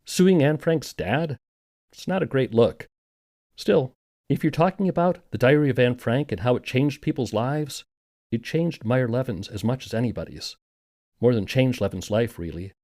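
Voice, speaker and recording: A man's voice: mid-range voice, normal speed, a little mellow. A man narrating a scripted documentary podcast in a conversational, wry manner.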